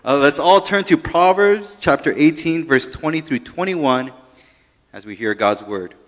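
A man in his thirties with a loud voice opens a sermon on a handheld mic, casual and jokey, then reads scripture and prays. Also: on the high side, a little slow. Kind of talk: sermon opening and scripture reading. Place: church sanctuary stage. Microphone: handheld microphone. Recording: long church hall reverb.

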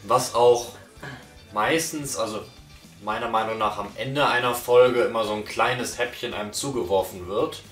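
A man giving his opinion in a casual review chat, relaxed with fillers. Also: lowish voice, moderate pace, roomy sound, far from the mic, faint music behind. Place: small living room, on a sofa. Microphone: far camera.

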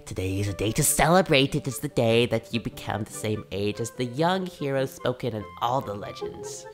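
A man voicing a gentle, doting old grandmother in a video game, soft and reassuring, with game music behind. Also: mid-range voice, lively intonation, normal speed, lightly acted. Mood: tender.

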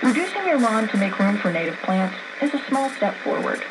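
A woman's voice: medium pitch, sing-song, fairly quick. A woman reading scripted narration for a nature radio program, received over shortwave. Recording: very noisy shortwave radio reception.

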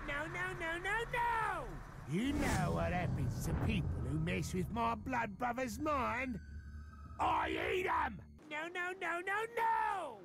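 Gravelly, unhinged orc warrior. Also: male voice, very high voice, huge pitch swings, takes it slowly, exaggerated voice. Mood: anguished panic. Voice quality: gravelly, snarling. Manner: ranting, wailing, menacing. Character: shamed orc warrior, unhinged, vengeful and tormented. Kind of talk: video game character acting. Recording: loud music behind the voice. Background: loud game music.

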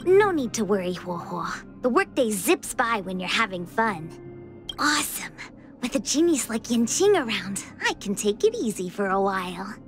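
A woman's voice: medium pitch, very animated, moderate pace, soft background music, close to an everyday voice. A woman voicing a laid-back, playful, lazy young woman in a video game, breezy and casual.

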